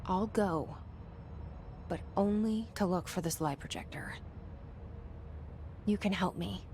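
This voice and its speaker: A woman's voice: mid-range voice, slow pace, mellow, slight background noise. An actress playing a tough young woman who talks tersely and bluntly and swears. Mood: defiant.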